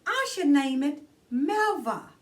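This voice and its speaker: A woman's voice: high-pitched, sing-song, slow, far from the mic. A woman hosting a children's storytime, reading a storybook aloud expressively and addressing young listeners directly in a theatrical way.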